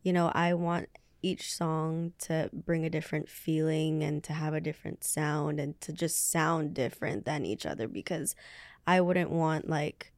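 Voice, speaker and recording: A woman's voice: low voice, a little slow, no room sound. A young woman from the Philippines, a singer answering interview questions casually and hesitantly, with many fillers as she searches for words. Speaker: guest singer.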